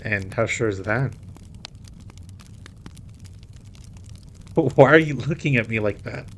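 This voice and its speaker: A man playing a suave, playful mafia boss in an audio roleplay drama, with a teasing, smooth manner. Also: mid-range voice, animated, normal speed, slight background noise, lightly acted. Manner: teasing, smooth, code-switches into Spanish.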